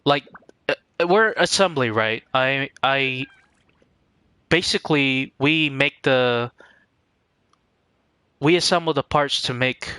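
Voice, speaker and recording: A man giving casual live-stream game commentary in a halting, rambling way, often repeating words as he goes. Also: mid-range voice, bright, forceful tone, smooth, no room sound.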